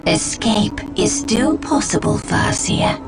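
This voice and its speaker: A woman's voice: mid-range voice, lively intonation, takes it slowly, vocal fry, over loud music. A woman playing a loyal, questioning alien warrior adviser in a game cutscene, formal and urgent. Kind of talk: scripted game cutscene acting.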